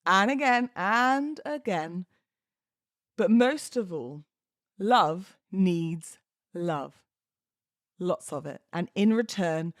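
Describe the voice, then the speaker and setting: A British woman hosting a podcast, moving between informal talk and expressive readings of verse. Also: medium pitch, no room sound, clean studio sound. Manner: chatty, informal, giggly. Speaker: podcast host. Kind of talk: casual podcast chat with dramatic poetry readings.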